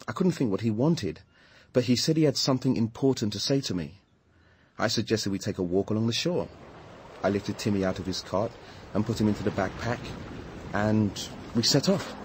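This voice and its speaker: An earnest British campaigner in a radio drama. His voice is fairly low and very husky, and he speaks in a confiding, insistent way, like someone troubled who needs to be heard. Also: lively intonation, normal speed. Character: earnest, troubled male anti-nuclear activist living in a caravan.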